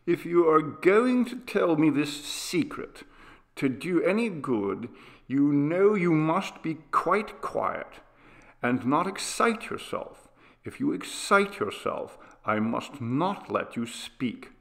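A man acts out an anxious father in a storybook, gently humouring a sick child. The delivery is unhurried and coaxing, with a lively rise and fall in pitch. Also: gravelly, exaggerated voice. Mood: humouring, cautioning. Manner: soothing, measured, coaxing. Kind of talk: dramatised audiobook character reading.

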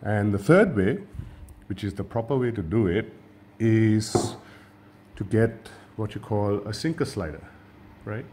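A man from Sri Lanka, a fishing channel host, giving a relaxed hands-on tutorial at a table and often repeating and restarting his words. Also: lowish voice, unhurried, noisy background.

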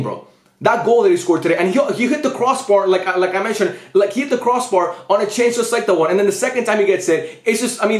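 Young male sports vlogger, 20s, high voice, emphatic and slangy, echoey small room. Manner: emphatic, slangy, repetitive, with occasional swearing. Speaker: football fan vlogger. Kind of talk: casual post-match commentary to camera. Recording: roomy indoor echo.

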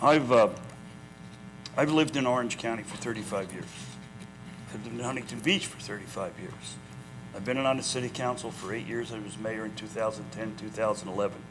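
Steady older man listing credentials. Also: mid-range voice, poor audio. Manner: lists credentials, steady, with uh fillers. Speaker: political candidate, city councilman. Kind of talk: panel debate. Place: community center hall with audience. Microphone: lapel mic.

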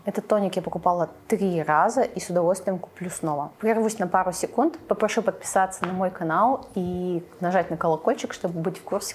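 A young adult woman explaining products to camera in a measured way, as a beauty vlogger. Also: lowish voice, a little mellow, a little hiss or hum. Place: small furnished room indoors.

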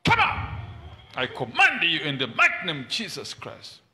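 A man's voice: on the high side, wildly swooping pitch, normal speed, pushed and bright. A middle-aged man preaching and praying commandingly in short repeated phrases to a congregation in a large hall. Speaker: preacher leading prayer and prophecy. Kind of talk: deliverance prayer and prophecy to congregation. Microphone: handheld microphone. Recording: noisy hall PA with ambient sound.

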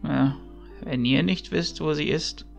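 A man playing a cheerful, kindly elderly village priest in an audio drama, chatty and musing, with a creaky voice. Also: a little high, faint music behind. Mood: curious, gentle. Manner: jovial, chatty, drawn-out musing.

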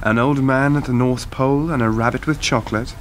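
A man acts a wry male lecturer in a radio play, sceptical and questioning. His voice sits at a middling pitch, over a faint bed of background noise. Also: steady tone, a little fast, lightly acted. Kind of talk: radio drama acting, dialogue.

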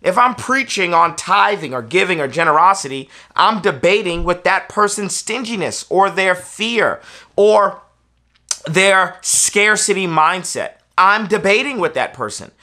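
A man's voice: high voice, animated, unhurried, bright, forceful tone. An American man in his thirties, a preacher giving an instructional talk in an emphatic, persuasive manner, repeating key phrases. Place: small furnished indoor room, seated on a sofa.

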